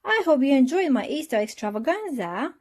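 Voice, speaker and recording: Woman as a jolly, high-pitched rabbit lady. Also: big pitch swings, a little fast, darkish tone. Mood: gleeful. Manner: exclaiming gleefully.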